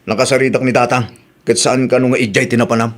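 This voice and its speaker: An actor with an Ilocano accent playing a wronged, fed-up young husband in a radio drama. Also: male voice, medium pitch, little pitch movement, quick pace, close to an everyday voice. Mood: reproachful. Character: wronged, fed-up young husband confronting his lying wife.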